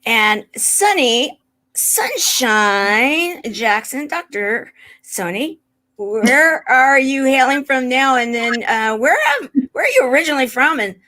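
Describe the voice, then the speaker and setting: A woman's voice: sits high, sing-song, slow pace, pushed and bright. A woman hosting an online panel, calling on each guest in turn. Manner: leisurely, introducing each guest by name. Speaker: panel host.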